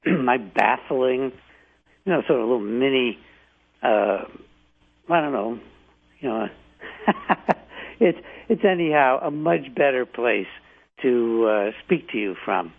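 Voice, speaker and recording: An older man hosting a radio show from home, hoarse from the flu, rambling with fillers and restarts. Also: mid-range voice, expressive, slow pace, pauses often. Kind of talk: casual talk radio monologue. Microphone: home setup, not his usual studio mic. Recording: tinny phone-like line.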